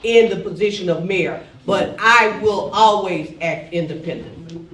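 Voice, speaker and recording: A man answering as a candidate at a public forum, measured and deliberate. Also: high-pitched, a bit bright. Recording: echoey hall from far off.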